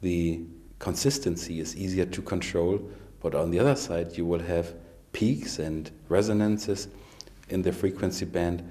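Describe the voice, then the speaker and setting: Middle aged business guy giving a prepared statement, really low dark voice, slightly halting. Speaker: company president and chief executive. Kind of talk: prepared corporate interview statement. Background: faint music.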